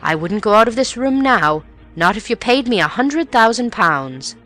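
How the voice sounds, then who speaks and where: A woman's voice: a little high, sing-song, moderate pace, a bit bright, faint music behind, pitched above the performer's own voice. A woman voicing a nervous older aunt who frets and dramatizes. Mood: scornful.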